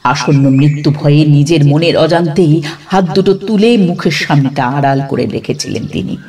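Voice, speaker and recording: A man narrating a horror story dramatically, building suspense with pauses between short phrases. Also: on the high side, darkish tone, far from the mic.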